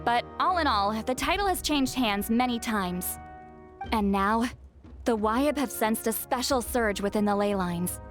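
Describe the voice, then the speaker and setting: Game role: worried young shaman, earnest, slightly high voice. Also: expressive, a little slow. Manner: worried, earnest, a touch formal. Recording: game audio over soft music.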